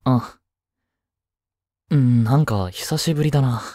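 Male actor as jealous teenage boy, romantic audio drama, hesitant, boyish, slow-paced, dry close recording. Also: mid-range voice, warm tone, no room sound, natural voice. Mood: casual, friendly. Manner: boyish, hesitant, muttering to himself. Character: jealous, flustered teenage boy in love with childhood friend. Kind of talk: Japanese romantic voice drama acting.

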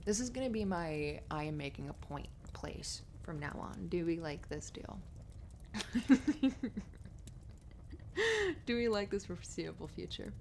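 A woman acting as a loving, reassuring writer girlfriend in an intimate ASMR roleplay. Her voice sits fairly low with plenty of vocal fry, soft and giggly, slipping into breathy murmurs. A faint fireplace crackle runs behind her. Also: expressive, normal speed, hardly any pauses. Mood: affectionate, teasing. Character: affectionate, playful, reassuring successful writer girlfriend. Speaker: audio roleplay performer. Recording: noisy fireplace ambience behind voice.

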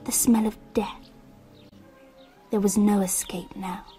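An actress playing an anxious English girl narrating her summer in a radio drama, with hushed, intense, deliberate storytelling. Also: mid-range voice, steady tone, soft background music, natural voice. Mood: shaken, hushed.

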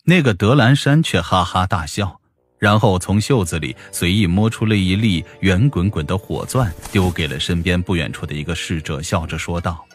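Deep-voiced male audiobook narrator. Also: moderate pace. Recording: music behind the voice.

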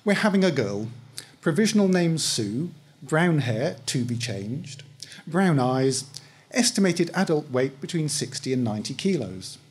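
Higher-pitched, husky man reading a story. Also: sing-song, measured pace. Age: middle-aged. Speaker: author reading own short story. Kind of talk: first-person story reading at a live event. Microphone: microphone on stand, close.

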